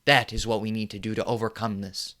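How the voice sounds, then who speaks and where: An actor playing a furious middle-aged TV newsman who delivers an impassioned on-air rant that repeats itself and builds to yelling. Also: on the low side, flat delivery, fairly quick. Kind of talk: film monologue.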